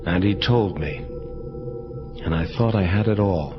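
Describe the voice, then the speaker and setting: A man's voice: sits low, measured pace, lo-fi sound, over music. An actor playing a world-weary male angel detective who narrates his case in hardboiled audio drama style. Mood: reflective.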